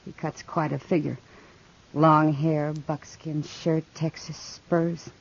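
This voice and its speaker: A woman's voice: deep voice, flat delivery, slow pace, creaky, full character voice. A woman playing a worldly, concerned frontier woman with an American accent, earnest and confiding, in 1950s radio western style. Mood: earnest, urging. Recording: dull vintage radio recording.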